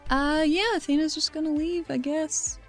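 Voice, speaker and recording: A woman's voice: high voice, steady tone, moderate pace, faint music behind. A woman playing a tabletop game, improvising in a casual, somewhat tentative way. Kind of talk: casual improvised role-play.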